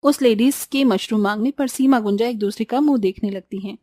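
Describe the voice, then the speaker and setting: A woman's voice: on the high side, fairly quick, mellow, dry recording. A woman reading scripted narration for a Hindi cartoon village story.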